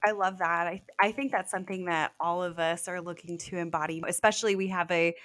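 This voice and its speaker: Enthusiastic woman podcast host, mid pitch, dry close mic. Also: fairly even intonation, fast, a bit bright, no echo.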